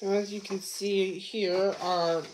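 Woman host, slow, halting delivery, echoes instructions, noisy home kitchen recording. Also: mid-range voice, level tone. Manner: halting, restarts phrases, repeats what she is told. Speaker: cooking show host. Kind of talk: casual cooking demo, repeating instructions.

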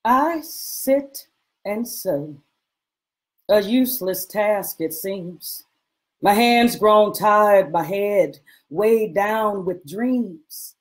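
A woman recites poetry dramatically in a mid-range voice. She goes extremely slowly, measured and solemn, with passion in the delivery. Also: medium pitch, expressive.

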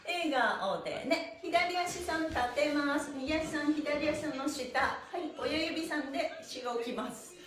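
A woman's voice: sits high, a little slow, few pauses, a bit bright. A middle-aged woman teaching an exercise class, cueing and chanting rhythmically in a cheerful, encouraging way. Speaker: stretching class instructor and pantomime teacher. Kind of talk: exercise instruction with chanted counts. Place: small bare indoor studio room. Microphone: camera a few meters away. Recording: echoey room, mic far off, faint music.

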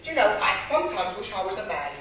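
High-pitched, brassy pantomime dame. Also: male voice, very animated, quick pace, heavy reverb. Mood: cheeky, gossipy. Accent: English. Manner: exaggerated, theatrical comic delivery. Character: cheeky, brassy, poor widowed pantomime dame. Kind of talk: British pantomime stand-up patter to an audience. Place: theatre stage, recorded from the audience. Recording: distant noisy stage recording with audience.

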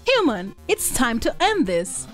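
A woman's voice: fairly high pitch, sing-song, unhurried, soft background music, voice pushed down. A woman voicing a vain, earnest male skeleton from a video game in a pompous, melodramatic manner. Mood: grandiose, proud. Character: vain, earnest, theatrical male skeleton, bombastically self-confident. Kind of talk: dubbing video game dialogue on a livestream.

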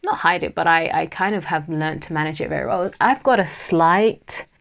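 A woman hosting her own podcast in a free-flowing, unscripted chat, laughing often and pausing now and then with a slight stammer. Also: sits low, vocal fry. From Nigerian family, Yoruba heritage. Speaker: podcast host.

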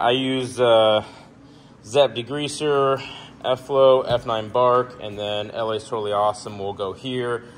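A young man casually walking through his work trailer, talking in a relaxed, rambling way with lots of ums. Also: lowish voice, slight background noise. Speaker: pressure washing business owner. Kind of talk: casual walkthrough tour. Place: indoor metal trailer, shop garage. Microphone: handheld phone camera.

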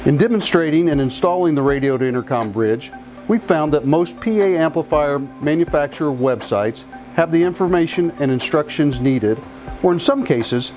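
American man presenting, slightly higher-pitched voice. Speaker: company spokesman. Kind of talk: scripted corporate presentation to camera. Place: small indoor equipment room. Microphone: lapel mic. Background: background music.

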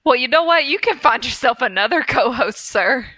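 A woman's voice: sits high, expressive, brisk, bright, forceful tone, no echo. A woman co-hosting a podcast, chatting casually and comedically with playful banter. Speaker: podcast co-host.

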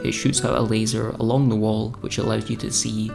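A young man narrating his own renovation vlog in a relaxed, scripted voice-over with dry humour. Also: fairly low pitch, fairly quick, gravelly, music in the background. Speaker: renovation vlogger.

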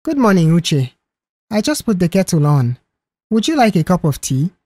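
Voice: mid-range voice, sing-song, moderate pace, a little mellow. Dorcas, nervous young office woman, read by a narrator. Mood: polite.

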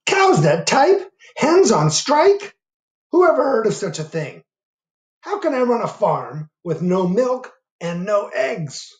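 A blustering, exasperated farmer played by a man reading aloud bellows his complaints in a high, strained voice. The voice swings wildly up and down, and the room around it rings with echo. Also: big pitch swings, takes it slowly, exaggerated voice. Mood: outraged. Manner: shouting in outrage.

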